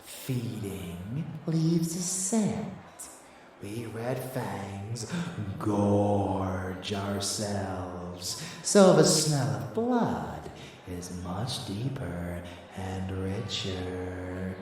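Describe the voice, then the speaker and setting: A man playing a rebellious, possessive and seductive vampire lover in an ASMR audio roleplay, teasing and bloodthirsty in a drawn-out, intimate delivery. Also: low-pitched, lively intonation, darkish tone, full character voice. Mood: savoring, menacing. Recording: echoey, distant, faint background noise.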